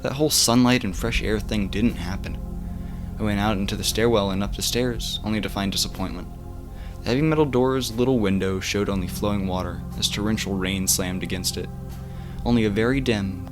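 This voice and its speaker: A man with a fairly low voice narrates a horror story for bedtime listening. He reads in the first person like a home-made audiobook, now and then tripping on a word. Faint music plays behind him. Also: brisk.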